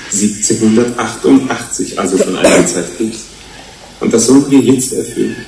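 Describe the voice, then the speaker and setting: An actor playing a thoughtful, skeptical human-fish hybrid in a German radio play, measured, probing and slightly pedantic. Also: male voice, on the low side, creaky. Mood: firm, lecturing. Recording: roomy sound with faint noise.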